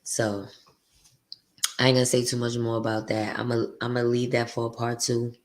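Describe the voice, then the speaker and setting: A woman with a deep voice hosting her podcast, venting bluntly and profanely in a candid, confessional monologue. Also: little pitch movement, normal speed. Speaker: podcast host.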